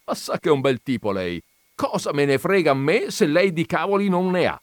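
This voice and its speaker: A man voicing a cranky, stubborn gentleman who argues loudly and loses his patience easily. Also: on the high side, expressive, brisk, no room sound, clean studio sound, voice pushed up, natural voice. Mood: exasperated. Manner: loud, indignant, argumentative.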